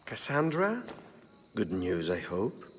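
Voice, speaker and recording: An actor playing a wealthy, shady schemer in a video game, speaking in a measured, cryptic and condescending way. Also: male voice, fairly low pitch, wildly swooping pitch, a little hiss or hum, full character voice. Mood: pleased, tempting. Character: shady wealthy male schemer, smooth, calculating and haughty. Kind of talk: dramatic video game dialogue. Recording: music playing under the dialogue.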